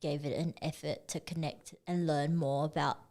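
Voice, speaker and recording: A young woman from Singapore, guest artist chatting casually in an interview, relaxed and full of "like" and "um". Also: deep voice, normal speed. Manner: relaxed, many fillers like "like" and "um".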